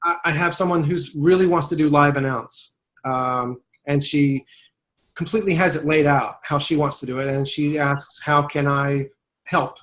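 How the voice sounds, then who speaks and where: A man's voice: fairly high pitch, steady tone, normal speed, a bit bright. A man working as a voiceover agent, talking in a casual webinar conversation, thoughtful and rambling, often restarting his sentences.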